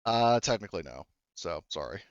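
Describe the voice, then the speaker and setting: Male game master, smooth lowish voice, thinks aloud haltingly through rules and improvised scene narration. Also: very animated, no echo, dull audio.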